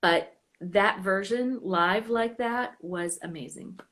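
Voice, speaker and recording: An American woman hosting a fan club live stream over a video call, chatting casually, sometimes trailing off into soft whispers. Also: mid-range voice, slow pace.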